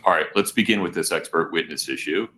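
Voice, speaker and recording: A man presiding as judge at a court hearing, asking measured, deliberate questions. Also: deep voice, sharp tone, slight background noise.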